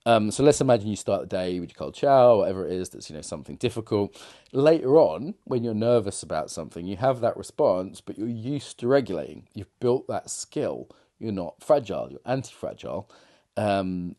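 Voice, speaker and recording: Give me a middle-aged man with a low, warm voice, musing loosely like a coach. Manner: rambling, reflective, frequent fillers and restarts. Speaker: confidence coach. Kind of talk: casual monologue to camera.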